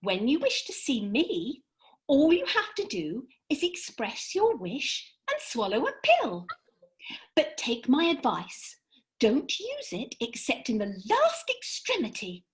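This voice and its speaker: A woman plays a lofty fairy in a comic radio play. Her voice is high and swoops dramatically up and down as she lectures in brisk, precise, theatrical phrases. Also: wildly swooping pitch, full character voice, low-quality recording. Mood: instructive, grave.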